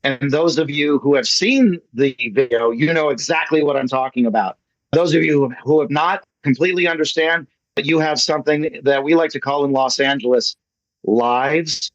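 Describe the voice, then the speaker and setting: A man's voice: on the high side, normal speed, no room sound. Chatty American man on a muffled call. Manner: chatty, jokey, enthusiastic. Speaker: playwright presenting his play at an online meeting. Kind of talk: casual chat. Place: online meeting call. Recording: muffled lo-fi online meeting audio.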